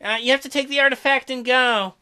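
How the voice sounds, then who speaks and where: A man voicing a weakened young merman who speaks in halting, pleading phrases. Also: very high voice, fairly even intonation, sharp tone, clear, resonant tone, pitched above the performer's own voice, heavily acted.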